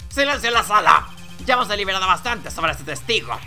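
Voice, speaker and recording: Man playing a forceful, pompous old judge. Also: high voice, expressive, fast talker, faint music behind, voice pushed up, heavily acted. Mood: stern, formal. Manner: formal, pronouncing. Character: stern, pompous, easily flustered old male judge.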